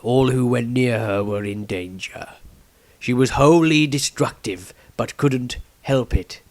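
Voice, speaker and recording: A man voicing a grave old patriarch of a wizard order in a fantasy audiobook, solemn and deliberate, with dramatic pauses. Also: medium pitch, a little mellow, no room sound, close to an everyday voice. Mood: explaining gravely. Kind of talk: fantasy audiobook character reading.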